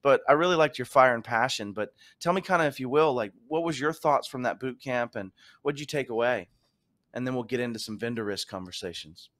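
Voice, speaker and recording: A man hosts a casual chat with an easy, rambling enthusiasm. His voice sits at a middle pitch. He rolls from one thought into the next without stopping. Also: fairly quick, a bit bright. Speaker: podcast host.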